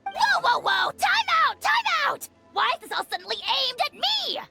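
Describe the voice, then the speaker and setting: A woman voicing a boastful, bratty tiny dragon lord in a video game, pompous, loud and theatrical. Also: extremely high pitch, sing-song, moderate pace, creaky, faint music behind, exaggerated voice. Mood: alarmed.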